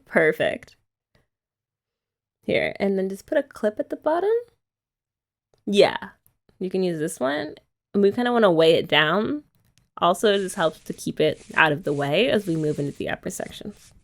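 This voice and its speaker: A woman's voice: medium pitch, animated, normal speed, creaky, long pauses. A young woman doing girlfriend roleplay ASMR, chatty and teasing with rambling asides. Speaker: ASMR creator.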